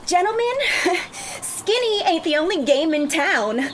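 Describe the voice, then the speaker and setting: A woman playing a brash, sassy, proud plus-sized customer, theatrical and sharp-tongued, in a screenplay table read. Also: very high-pitched, sing-song, measured pace, a little hiss or hum, exaggerated voice.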